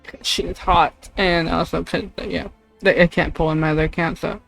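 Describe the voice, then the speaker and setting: A woman's voice: fairly low pitch, level tone, moderate pace, gravelly, a bit bright, no echo, faint music behind, low-quality recording. A woman streamer chatting casually and in a rambling, giggly way during a game livestream.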